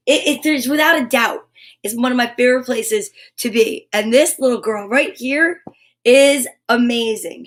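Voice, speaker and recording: Exuberant high-pitched woman hosting kids' story time. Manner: exuberant, emphatic, informal, encouraging. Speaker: host of a children's live story show. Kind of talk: upbeat live chat to viewers, reading picture books aloud.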